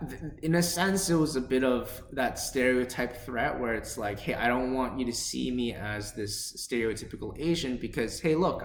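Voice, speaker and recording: A young man in a reflective personal monologue, talking hesitantly with many fillers and restarts. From Korean family, raised in an English-speaking country. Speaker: speaker telling his own language story. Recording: echoey room, faint rumble.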